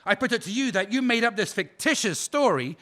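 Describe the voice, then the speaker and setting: A man's voice: sits high, animated, bright, forceful tone. A man playing a sarcastic prosecutor. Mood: cold. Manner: probing, sarcastic cross-examination, measured and formal. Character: sharp, sarcastic prosecuting officer at a war crimes trial, male. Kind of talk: audio drama acting.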